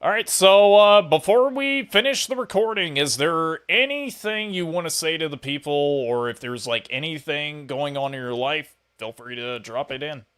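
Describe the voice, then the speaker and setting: A man's voice: on the high side, animated, normal speed, few pauses, fairly bright tone, smooth. A man hosting a podcast, chatting casually with his guest as interviewer.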